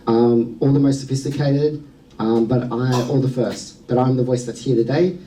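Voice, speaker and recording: Australian man, public speaker, dark mellow tone, earnest and measured, distant mic. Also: mid-range voice, steady tone, slight background noise. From New South Wales, Australia. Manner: earnest, measured, with frequent "um" fillers. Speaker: speaker at a museum sector event. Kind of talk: prepared public talk. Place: event venue.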